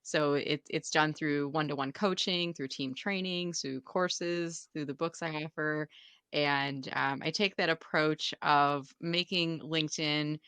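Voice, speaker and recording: A woman's voice: low-pitched, fairly even intonation, a little slow, fairly bright tone, clear, resonant tone, no echo. A woman speaking as a guest coach in a podcast interview, explaining her work conversationally.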